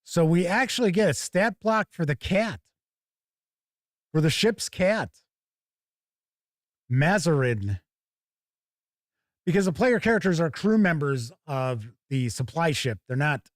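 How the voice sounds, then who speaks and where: A man's voice: on the high side, expressive, slow pace, pauses often, no echo, studio quality. A man hosting a tabletop gaming show, chatting casually and thinking aloud as he gives live first-look commentary.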